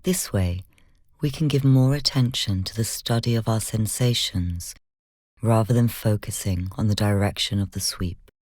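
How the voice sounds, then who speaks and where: Soft, dry-sounding meditation guide. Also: medium pitch, moderate pace, dry recording. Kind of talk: guided meditation, slow instructional narration.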